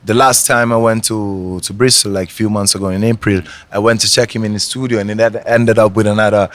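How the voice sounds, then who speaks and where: A man's voice: lowish voice, steady tone, a little fast, fairly bright tone, dry recording. A man whose first language is Italian, a reggae artist answering interview questions in a hesitant way, with many restarts.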